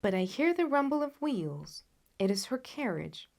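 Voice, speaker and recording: A woman's voice: medium pitch, big pitch swings, moderate pace, creaky, a little mellow. A woman voicing a confident, analytical male detective in a storybook reading, assured and instructive.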